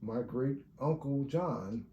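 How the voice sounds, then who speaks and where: Halting man with a warm voice. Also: mid-range voice, highs cut off, a little hiss or hum. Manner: halting, many fillers and restarts while recounting genealogy. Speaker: relative talking about family research. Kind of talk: casual conversation. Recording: echoey room.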